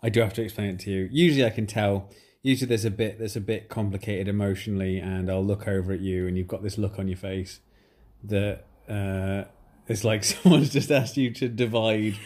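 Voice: sits low, steady tone, moderate pace, darkish tone. A podcast co-host from the English Midlands, teasing dryly in casual chat.

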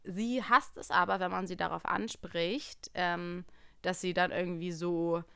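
A young adult woman talking casually as a podcast co-host, telling personal stories in an animated way and quoting what people said. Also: fairly low pitch, unhurried, vocal fry, clean tone, no echo, studio quality.

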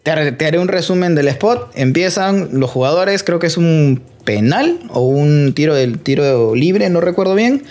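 A man's voice: a little high, darkish tone. A man co-hosting a casual podcast chat, explaining football news at length with playful asides. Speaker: co-host.

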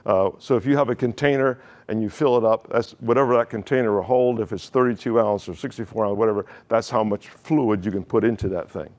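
A man's voice: on the low side, brisk, few pauses, darkish tone. A male pastor preaching a sermon in a conversational way, asking the congregation questions and often restarting his phrases.

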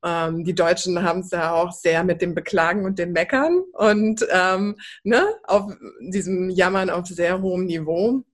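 Low-voiced enthusiastic female host. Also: steady tone, measured pace, hardly any pauses. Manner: enthusiastic, conversational. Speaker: podcast host. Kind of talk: casual interview talk.

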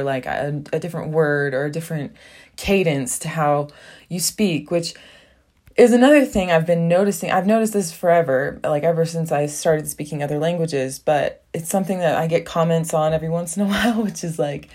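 Low-voiced young woman rambling casually. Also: darkish tone. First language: English. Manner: rambling, filler-heavy, laughs often. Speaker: podcast host. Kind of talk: casual solo podcast monologue. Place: small bathroom at home.

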